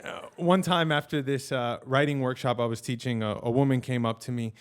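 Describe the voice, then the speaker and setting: A man's voice: medium pitch, fairly even intonation, a little fast, clean tone. A man storyteller telling a comic personal story live, acting out the people he quotes.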